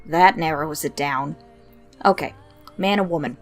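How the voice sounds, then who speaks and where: A woman voicing a confident, teasing female shopkeeper, blunt and dry with a soft heart, in a story reading. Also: sits low, measured pace, soft background music. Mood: curious, teasing.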